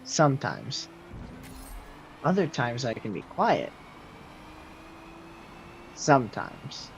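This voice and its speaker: A young man in his late teens with a loud, projecting voice, rambling casually to his viewers while he games and answers their messages. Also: medium pitch, huge pitch swings, slow, slight background noise. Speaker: streamer. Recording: slightly muffled, faint game music behind.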